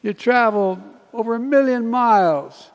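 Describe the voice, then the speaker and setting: Man acting out senior train conductor, American accent, high raised voice, exuberant, chummy, brash, drawn-out emphatic words, spoken anecdote. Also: dull audio. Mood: brash, insistent.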